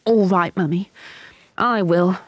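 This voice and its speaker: A woman's voice: lowish voice, slow pace, husky, no room sound, voice pushed up. A woman voicing a scornful, meddling little girl who turns sulky and pleading. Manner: bossy and sulky.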